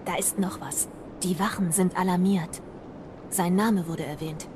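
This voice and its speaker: A woman's voice: steady tone, a little slow, natural voice. An actress playing a loyal, watchful scout to an Indian queen in an audio drama, discreet and serious. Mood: wary, reporting. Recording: noisy rumbling background.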